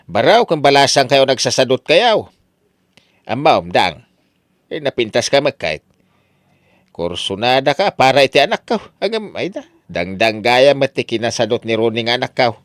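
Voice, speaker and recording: A man's voice: medium pitch, big pitch swings, moderate pace, no room sound, clean studio sound, lightly acted. An actor playing an exasperated middle-aged father who nags and scolds in an Ilocano comedy radio drama. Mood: scolding. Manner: scolding, exclamatory. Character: exasperated, nagging father scolding his idle son.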